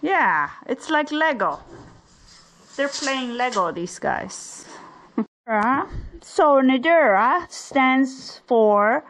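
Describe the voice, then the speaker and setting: A woman's voice: high-pitched, lively intonation, extremely slow, fairly bright tone, a little hiss or hum, rough recording. A woman vlogging casually in a chatty, teasing way, recorded on a handheld camera outdoors on a building site. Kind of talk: casual vlog narration and chat. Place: outdoor building site under a raised house. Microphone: handheld camera, held by the speaker.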